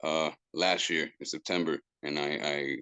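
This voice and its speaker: A man's voice: rumbling low, fairly even intonation, a little slow, pushed and bright, smooth, dry recording. A man, a guest musician who talks casually, stumbles over repeated words and drops into Spanish phrases. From Puerto Rico. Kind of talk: casual interview talk.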